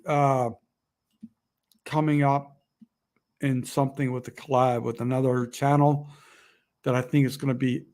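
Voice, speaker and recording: A man talking to camera in an emotional, rambling vlog, halting often with fillers and restarts. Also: on the high side, vocal fry, darkish tone. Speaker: outdoor vlogger. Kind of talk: emotional casual monologue.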